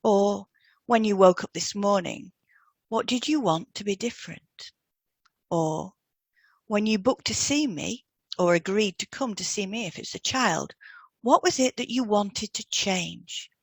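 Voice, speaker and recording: A woman reads scripted podcast advice in a gentle, measured manner. Her voice sits at a medium pitch. The sound is very dry, with little room around it. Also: gravelly, husky, no echo. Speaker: podcast co-host and hypnotherapist. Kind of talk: scripted podcast advice with example client questions read out.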